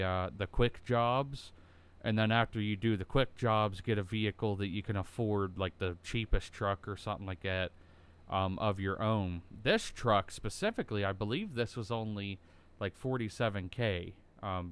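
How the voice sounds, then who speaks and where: A young American man with a bassy voice, rambling through casual commentary while playing a driving game, full of ums and likes. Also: smooth. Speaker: gaming YouTuber.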